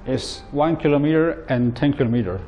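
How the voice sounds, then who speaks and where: A man in his thirties, a non-native English speaker, giving a plain, halting, step-by-step product demonstration. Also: medium pitch, muffled recording, faint music behind. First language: not English. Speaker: product presenter. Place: indoor office at a table.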